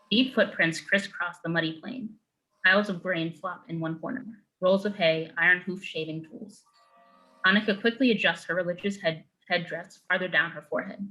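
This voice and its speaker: Bright, low-voiced woman narrator. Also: rough recording. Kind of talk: reading screenplay stage directions.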